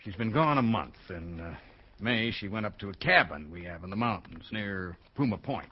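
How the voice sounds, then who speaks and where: Troubled American businessman, husky, swooping voice. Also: fairly low pitch, expressive, lo-fi sound. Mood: gruff, halting. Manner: halting, hesitant, with bursts of bluntness. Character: troubled, blunt American businessman, a wronged husband. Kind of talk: radio drama acting.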